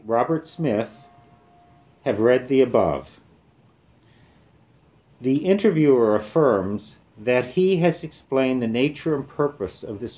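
A retired man in his seventies from the American Midwest, reminiscing slowly in an oral history interview with many pauses and fillers. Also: medium pitch, narrow, tinny audio. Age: 70s. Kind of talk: relaxed reminiscing with reading aloud.

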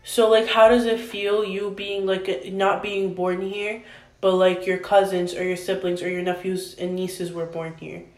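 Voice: medium pitch, level tone, unhurried, a little mellow. A young interviewer asking questions hesitantly, with many ums. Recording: echoey room.

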